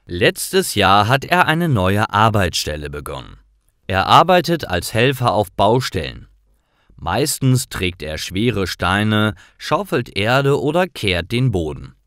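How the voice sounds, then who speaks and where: A man narrates a scripted story for language learners at a very unhurried pace. His phrases are short and carefully separated, and his intonation moves widely up and down. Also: on the low side, a bit bright, no room sound, studio quality.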